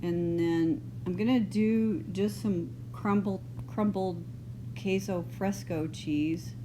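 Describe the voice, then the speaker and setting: A middle-aged woman from Texas with a mild, partly northern Texas accent, teaching a drawing lesson in a relaxed, chatty way as she works. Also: fairly low pitch. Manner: relaxed, unhurried, loose and chatty. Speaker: art teacher. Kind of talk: drawing lesson, thinking aloud. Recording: noisy home recording.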